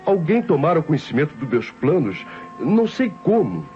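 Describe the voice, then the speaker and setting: An actor playing a scheming, nervous nephew who narrates theatrically in an old Brazilian radio drama. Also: male voice, fairly high pitch, wildly swooping pitch, normal speed. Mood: tense, scheming. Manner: theatrical, tense storytelling. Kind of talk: dramatic first-person radio narration, old-time acting. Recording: dull old radio recording with music.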